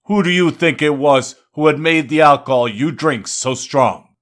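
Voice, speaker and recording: Man voicing a boastful, forceful god of wine. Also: a little high, normal speed, natural voice. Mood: proudly boasting. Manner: grandiose, insistent, declamatory.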